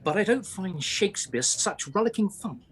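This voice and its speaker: An English man acting a blustering, irascible Victorian gentleman playwright in a radio play. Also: high voice, animated, moderate pace. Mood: playful, sardonic. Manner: blustering, theatrical.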